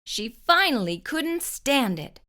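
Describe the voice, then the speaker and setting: A woman narrating a children's storybook expressively, with deliberate, dramatic pauses. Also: sits high, very animated, bright, forceful tone. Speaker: children's storytime host reading aloud.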